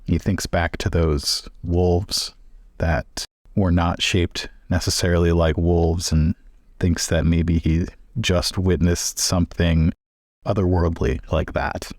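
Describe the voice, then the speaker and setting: Deep-voiced man narrating, close and dry. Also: level tone, slow, darkish tone, no echo. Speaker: tabletop game player. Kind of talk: improvised narration of a tabletop game.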